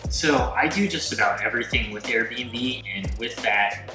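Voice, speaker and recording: A man in his twenties from the Philadelphia suburbs, answering interview questions in a calm, matter-of-fact way. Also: medium pitch, a little slow. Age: 20s. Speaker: guest, real estate investor. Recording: echoey room with music behind.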